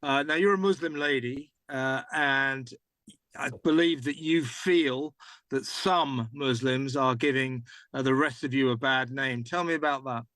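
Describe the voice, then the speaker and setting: An older man hosting a TV talk show, interviewing a guest in a halting way full of fillers. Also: mid-range voice, a bit bright, no room sound. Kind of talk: studio interview.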